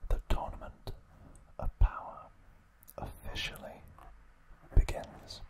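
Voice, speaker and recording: Whispering man, slow ASMR narration. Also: poor audio. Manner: hushed, slow and deliberate, with pauses. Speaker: ASMR video host.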